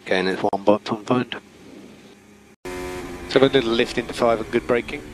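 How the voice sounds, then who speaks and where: A man working as a race engineer gives calm, methodical, terse instructions over team radio, repeating key calls. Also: medium pitch, moderate pace. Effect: team radio transmission. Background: vehicle hum and noise.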